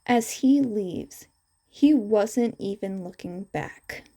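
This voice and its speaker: A woman's voice: medium pitch, animated, takes it slowly, dark tone. A woman reading fan fiction aloud as a scripted storytelling narration.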